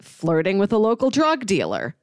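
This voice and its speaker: A woman's voice: mid-range voice, huge pitch swings, normal speed, dry recording, studio quality. A woman co-hosting a film podcast, talking in a casual, conversational way.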